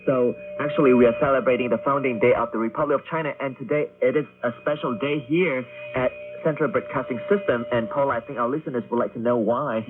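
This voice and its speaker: A man's voice: mid-range voice, fairly even intonation, quick pace, hardly any pauses. A man working as a radio host, announcing and chatting with a co-host. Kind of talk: radio announcing and co-hosted chat. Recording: tinny shortwave radio recording with music.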